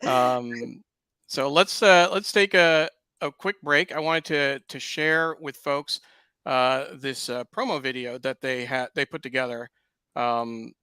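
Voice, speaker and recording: Man, show host, gravelly, crisp, dry close sound, hesitant, lots of fillers. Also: mid-range voice, pushed and bright, no room sound. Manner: hesitant, heavy on uh and um. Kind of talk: casual interview chat.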